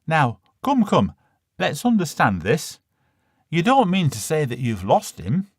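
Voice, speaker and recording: Man playing a puzzled young insurance man, pitched up. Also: huge pitch swings, measured pace, husky, dry recording, studio quality, full character voice. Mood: puzzled, indignant.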